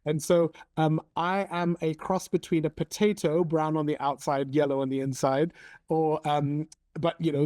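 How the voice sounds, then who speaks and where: A man in his forties, raised in Hong Kong, speaking as an interview guest in a measured, thoughtful way with frequent ums. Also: fairly high pitch, darkish tone, dry recording. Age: 40s. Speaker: interview guest, storytelling coach. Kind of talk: conversational interview.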